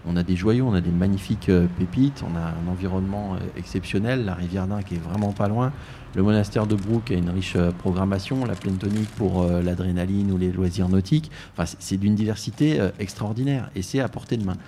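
Radio guest, male, very low and dark voice, halting delivery with many fillers, faint background hiss. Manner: hesitant, many fillers and restarts. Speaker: tourist office director. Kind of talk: live radio interview.

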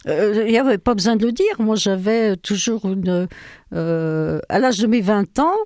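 Older woman, halting dry storytelling. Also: medium pitch, a little mellow, no room sound. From France. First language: Ukrainian. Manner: hesitant, many fillers, storytelling. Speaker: guest. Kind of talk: personal testimony in an interview.

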